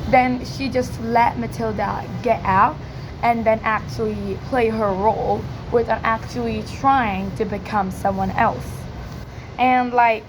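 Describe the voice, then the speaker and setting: Woman, hoarse cracking voice, slow pace, hesitant filler-heavy speech, informal presentation, noticeable background noise. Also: a little high. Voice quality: croaky, cracking. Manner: hesitant, many filler likes. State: hoarse from yelling. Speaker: student presenter practising English speaking. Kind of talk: informal spoken question-and-answer presentation.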